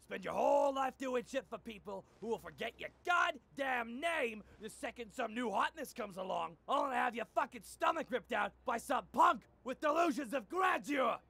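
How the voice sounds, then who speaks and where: An actor playing a worn-out, bitter, foul-mouthed older superhero in a gruff, jaded way for an animated parody dub. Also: male voice, extremely high pitch, moderate pace, few pauses, exaggerated voice. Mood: bitter ranting. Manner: jaded, gruff, theatrical outbursts. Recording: music behind the voice.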